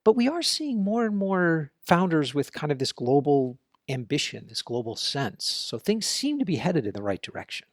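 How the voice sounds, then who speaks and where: A man's voice: fairly high pitch, very animated, moderate pace, hardly any pauses, dry recording, clean studio sound. A man hosting a podcast, interviewing a guest and then commenting on his own in a conversational, thinking-aloud way. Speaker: podcast host.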